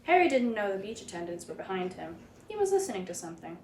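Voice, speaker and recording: A woman reading a children's picture book aloud in an expressive, dramatic storytelling manner. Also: mid-range voice, quick pace. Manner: animated, dramatic read-aloud delivery. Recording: roomy echo, background noise.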